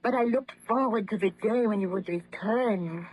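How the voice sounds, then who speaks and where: An actress playing a devoted, anxious wife in an old-time radio horror drama, with an earnest, melodramatic delivery. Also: female voice, medium pitch, normal speed, a little mellow, husky, lightly acted, rough recording. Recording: vintage radio drama recording.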